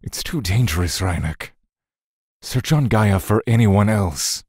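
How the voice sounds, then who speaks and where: An actor playing a stern, dutiful god of death in a fantasy audio drama, speaking in a stiff, curt, commanding manner. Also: male voice, on the low side, lively intonation, unhurried, darkish tone, husky, no echo, clean studio sound, heavily acted. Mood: stern, grave.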